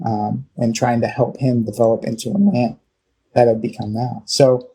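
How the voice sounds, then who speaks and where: A man from Southern California with a Californian accent, telling his personal story as an interview guest, halting and often pausing mid-phrase. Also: mid-range voice, flat delivery, mellow, rough recording. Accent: Californian American. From Southern California, United States. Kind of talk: reflective personal storytelling in conversation.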